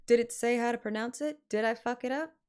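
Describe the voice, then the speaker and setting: A woman chatting casually on a livestream in an animated, self-deprecating and sometimes sweary way. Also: a little high, fast talker, clean tone. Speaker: live streamer and amateur voice actor. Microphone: microphone at adjustable close distance.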